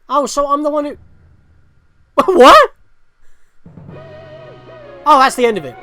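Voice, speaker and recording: A video host giving reactive, rambling gaming commentary and thinking out loud. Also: high-pitched, lively intonation, slow, no echo, faint music behind. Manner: rambling, self-questioning.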